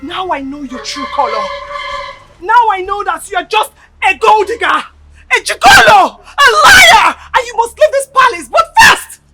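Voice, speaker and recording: An actress playing a haughty, furious young princess in a screen drama, with a Nigerian accent and an imperious, ranting, emphatic delivery. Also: female voice, very high voice, sing-song, moderate pace. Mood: furious, scornful. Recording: roomy echo, faint music behind.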